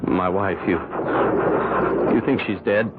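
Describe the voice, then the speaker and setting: An actor playing a defensive husband who talks in a clipped, irritable way, in a radio drama. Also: male voice, low voice, takes it slowly, very noisy. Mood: strained. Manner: clipped and irritable, voice leaping when rattled. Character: edgy, defensive husband hiding a secret. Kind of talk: 1970s radio drama acting. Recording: tinny old radio broadcast.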